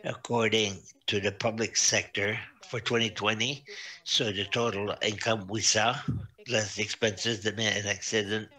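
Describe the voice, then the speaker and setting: A man presenting financial results to a council, reading out figures with many pauses and fillers. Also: lowish voice, flat delivery, bright, forceful tone. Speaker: auditor presenting financial statements. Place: municipal council meeting over video link.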